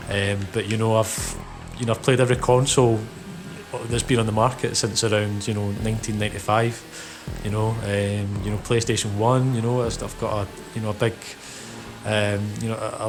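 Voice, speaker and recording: A man's voice: fairly low pitch, background noise. A Scottish man in his thirties hosting his gaming channel, talking loosely and easily with plenty of fillers as he plays. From Scotland. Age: 30s. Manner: rambling, lots of "you know" and "um" fillers; laid-back, easygoing. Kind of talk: casual gameplay commentary.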